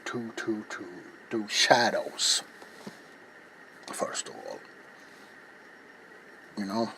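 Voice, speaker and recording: A middle-aged man speaking softly in ASMR style as he demonstrates a craft, halting and often slipping into a whisper. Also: mid-range voice, background noise. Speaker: ASMR video maker and host. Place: small cluttered indoor workshop.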